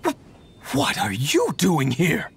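Scornful villager, theatrical, very animated pitch, fantasy game, faint noise behind. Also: male voice, slow, vocal fry, exaggerated voice. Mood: startled. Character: indignant, accusing swindler villager man.

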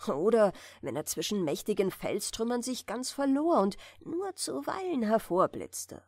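A woman gently narrating a bedtime fairy tale in a soft, soothing manner. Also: fairly high pitch, big pitch swings, unhurried, dry recording, clean studio sound. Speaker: audiobook reader.

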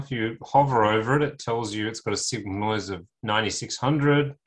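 Low-pitched man giving a technical tutorial. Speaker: lecturer. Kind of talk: informal technical tutorial narrating slides and screen demo. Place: indoors, on a video call.

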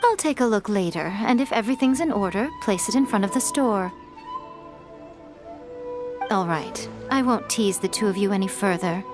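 An actress playing a sly, teasing, elegant fox shrine maiden in a video game, coy and poised. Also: female voice, medium pitch, animated, moderate pace, long pauses, close to an everyday voice. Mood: amused, poised. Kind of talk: acted video game dialogue. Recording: music behind the voice.

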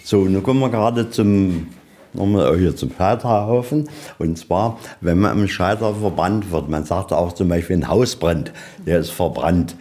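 A man's voice: low voice, normal speed, dark tone. A man, middle-aged, giving an informal, matter-of-fact guided tour in a colloquial way, often posing questions and answering them. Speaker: museum guide. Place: inside a museum, walking tour.

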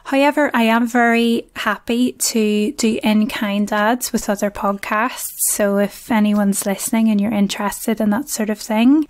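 A woman hosting a podcast over a video call, chatty and quick to laugh. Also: on the high side. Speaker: podcast host. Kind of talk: casual podcast chat.

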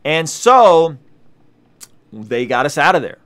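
A man's voice: on the high side, slow. A man in his thirties, from Azerbaijan and a native Russian speaker, talking earnestly to camera in a small studio and reading news aloud. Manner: earnest, animated, many restarts and fillers. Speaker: video host. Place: small indoor studio with colored lights.

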